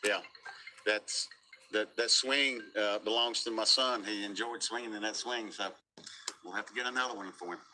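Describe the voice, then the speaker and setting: A middle-aged American man giving a casual eyewitness interview outdoors, speaking haltingly and repeating words. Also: fairly low pitch, steady tone, sharp tone, rough recording. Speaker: local resident and business owner being interviewed. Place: outdoors at a storm damage site. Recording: outdoor interview with faint noise.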